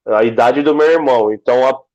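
A man of forty chatting casually as host, loudly exclaiming and steering the conversation. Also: medium pitch, quick pace, muffled recording. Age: 40s. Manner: animated, exclaiming, steering the talk. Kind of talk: casual chat.

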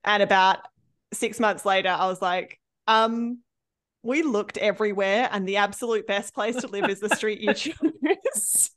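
A woman in her thirties with an Australian accent, a podcast guest telling animated stories and laughing between phrases. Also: mid-range voice, measured pace. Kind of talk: casual interview chat.

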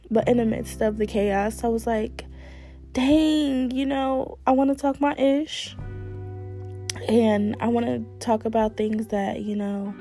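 A young woman hosting her own podcast, talking candidly and casually with many fillers, her voice a little hoarse. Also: on the high side, dark tone. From United States. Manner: chatty, candid, lots of fillers. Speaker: podcast host. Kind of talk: casual solo talk.

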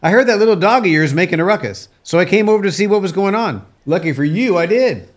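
Man playing folksy rancher, animated pitch. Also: fast. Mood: reassuring. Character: kindly, folksy male rancher neighbor. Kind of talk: radio play acting.

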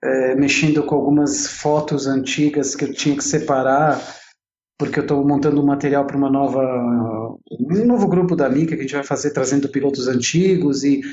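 A man's voice: mid-range voice, steady tone, normal speed, a little mellow, slight background noise. A man in his forties with a São Paulo Brazilian accent, telling his own story casually and talkatively, often repeating and rephrasing himself. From Italy and São Paulo, Brazil. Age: 40s. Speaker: interview guest, entrepreneur. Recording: roomy, slightly dull sound.

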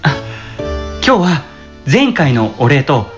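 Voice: medium pitch, big pitch swings, takes it slowly, soft background music. A performer playing a timid, earnest young male customer in a radio drama, polite, hesitant and grateful. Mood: shy, eager. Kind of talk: amateur radio drama acting.

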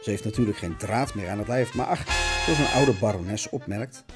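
A man's voice: lowish voice, moderate pace, loud music behind the voice. A man narrating a scripted radio essay in a melodramatic, mock-dramatic, declamatory manner.

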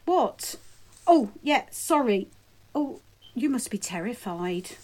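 A woman's voice: fairly high pitch, very animated, slow, dark tone, full character voice. A woman playing a worried, fussy middle-aged mother who rambles. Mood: anxious. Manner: fussy, digressive.

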